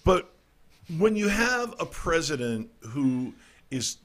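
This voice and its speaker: A man's voice: fairly high pitch, sing-song, slow pace, a bit bright. A middle-aged man hosting a casual talk show, ranting irreverently about the news with frequent stumbles and restarts. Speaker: podcast host. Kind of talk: casual political comedy talk.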